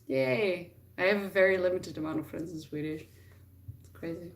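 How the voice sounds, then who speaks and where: A woman's voice: fairly low pitch, normal speed, vocal fry, distant mic, a little hiss or hum. A woman from the Netherlands, a native Dutch speaker, chatting casually and thinking aloud as she answers viewers on a live stream. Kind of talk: casual chat, reading and answering questions.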